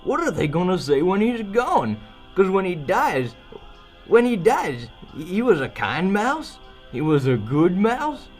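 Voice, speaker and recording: A man's voice: high-pitched, exaggerated voice. A man voicing a manic, fawning cartoon dog, rambling and erratic, in an old-time radio drama parody.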